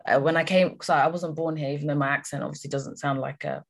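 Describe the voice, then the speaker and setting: Brief: Kenyan-born female host, low-pitched, chatty and affirming. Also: steady tone, fast, gravelly. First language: Swahili. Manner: warm affirming interjections, many fillers. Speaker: podcast host. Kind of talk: casual conversational interview.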